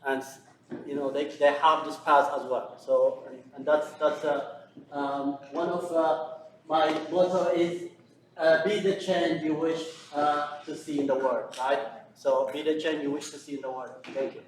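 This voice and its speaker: A middle-aged man organizing a community seminar, speaking haltingly with many fillers and restarts. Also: fairly high pitch, level tone, a little hiss or hum. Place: large room. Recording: echoey hall from far off.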